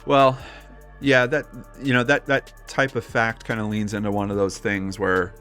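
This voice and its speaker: A man co-hosting a casual discussion, reasoning aloud in a skeptical, measured manner. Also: lowish voice, little pitch movement, fairly bright tone, clear, resonant tone, faint music behind. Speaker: podcast co-host.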